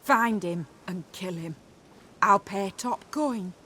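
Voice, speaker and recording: A cocky street crook in a fantasy game, sharp and forceful. The British voice is slangy and commanding, with a slight rumble of noise behind it. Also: female voice, slow. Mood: insistent. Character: pushy, cocky street criminal. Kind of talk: video game acting.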